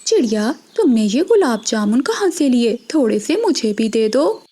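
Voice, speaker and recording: A woman's voice: fairly high pitch, big pitch swings, normal speed, mellow, slightly rough. A woman voicing a sly, boastful female crow in a children's cartoon, scheming and exclamatory. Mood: greedy, eager. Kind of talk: Hindi children's cartoon voice acting.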